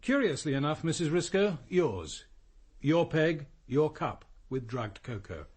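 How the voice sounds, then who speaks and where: An actor playing a cool, exacting police inspector who questions people in a measured, pointed way in a radio drama. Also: male voice, fairly high pitch, very animated, slightly rough, heavily acted. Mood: dryly pointed.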